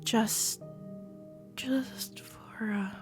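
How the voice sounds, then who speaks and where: Casting: actress as tender, teasing female cuddle companion for comfort audio roleplay. Leisurely delivery, soft and breathy, attentive, light music underneath. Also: medium pitch, very slow. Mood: cozy, sleepy. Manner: tender, soft-spoken, often dropping into a breathy whisper. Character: affectionate, gently teasing cuddle club woman, soothing and attentive. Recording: soft cafe jazz music behind. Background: soft background music.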